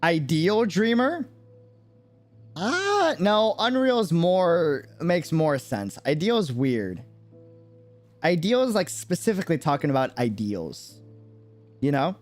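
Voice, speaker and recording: A man giving casual live stream commentary on a game, musing haltingly and often checking for agreement. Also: fairly high pitch, very animated. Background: game music.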